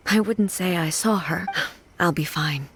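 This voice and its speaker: A woman's voice: fairly low pitch, measured pace, a little hiss or hum. A woman playing a reserved, sincere and dignified ruler in an anime game, speaking formally and with restraint. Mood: reflective. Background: background music.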